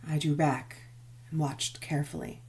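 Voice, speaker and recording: A woman reading a gothic novel as dramatic narration, measured and expressive, with drawn-out emphasis. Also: deep voice. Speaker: audiobook narrator.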